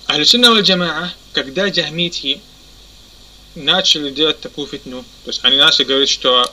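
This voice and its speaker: A man teaching a religious lesson. He pauses often, corrects himself, and keeps asking if his listeners understand. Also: on the high side, bright, forceful tone, a little hiss or hum, low-quality recording. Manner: halting, many fillers and self-corrections. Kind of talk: explanatory lesson.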